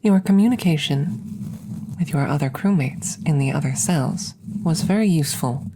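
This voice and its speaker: A woman playing a calm, curious alien scientist in an ASMR roleplay, speaking softly and close up in measured, formal, clinical phrases. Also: deep voice, background noise. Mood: measured, explanatory. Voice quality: soft, breathy close whispering. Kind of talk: whispered ASMR audio roleplay. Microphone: close-up microphone.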